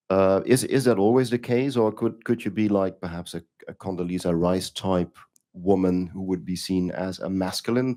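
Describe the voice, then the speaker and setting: A man's voice: low-pitched, warm tone. A man moderating an academic conference panel, asking questions with frequent hesitant fillers and restarts.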